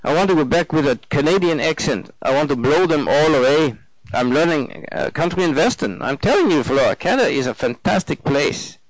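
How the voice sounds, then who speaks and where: A man's voice: on the high side, lively intonation, brisk, dry recording, voice pushed up, poor audio. A man voicing a nervous, earnest young German man in an audiobook, effusive and formally polite in slightly stilted English. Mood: eager, effusive. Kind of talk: audiobook character dialogue.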